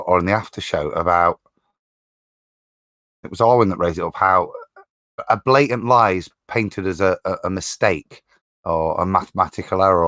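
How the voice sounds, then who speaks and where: A man on a discussion panel, talking casually in a halting, circling, indignant way. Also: low voice, a bit bright, dry recording. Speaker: panelist on a live show.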